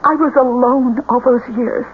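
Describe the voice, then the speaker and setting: An actress playing a frightened, pleading wife in a radio drama, speaking in a halting, anxious way. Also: female voice, high voice, fairly even intonation, close to an everyday voice. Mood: sorrowful, fearful. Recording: muffled, noisy old radio broadcast.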